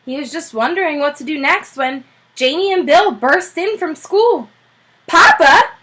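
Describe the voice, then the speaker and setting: A woman's voice: high voice, moderate pace. A woman teacher reading a children's chapter book aloud, telling it expressively. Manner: expressive, animated storytelling.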